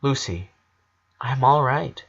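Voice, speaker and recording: A man voicing a gentle, protective eleven-year-old boy in a reassuring way, reading a story. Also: medium pitch, lively intonation, takes it slowly, vocal fry, dull audio, exaggerated voice. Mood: gentle reassurance.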